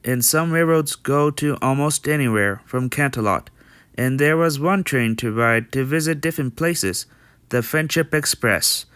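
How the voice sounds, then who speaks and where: A man's voice: mid-range voice, measured pace, a bit bright, clean tone, no echo, natural voice. A man playing a friendly, dutiful young male pony in an amateur comic dub, explaining things in an earnest, read-out way.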